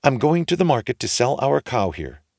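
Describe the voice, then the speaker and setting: A man's voice: medium pitch, sing-song, moderate pace, darkish tone, no room sound, clean studio sound, voice pushed up. A man voicing a cocky, trusting young boy in a storybook, cheerful and upbeat. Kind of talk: storybook character reading.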